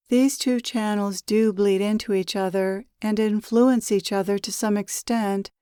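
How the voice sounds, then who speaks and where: A woman leading a guided meditation as a spiritual teacher, with measured, deliberate phrasing. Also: medium pitch, warm tone, smooth, no echo, clean studio sound. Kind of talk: guided meditation, spoken as a channeled message.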